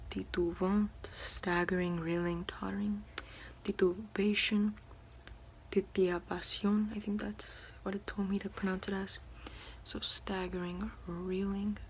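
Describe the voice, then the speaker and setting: A woman doing ASMR in a soft, breathy whisper, sounding out words one at a time. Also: mid-range voice, a little hiss or hum, low-quality recording. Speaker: ASMR creator reading a French dictionary. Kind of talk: ASMR whispered word-by-word reading.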